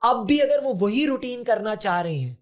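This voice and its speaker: A man's voice: very high-pitched, lively intonation, normal speed. A man, a podcast guest who explains things earnestly and drops English terms into his talk. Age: 40s. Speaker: podcast guest, health advocate. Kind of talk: conversational interview.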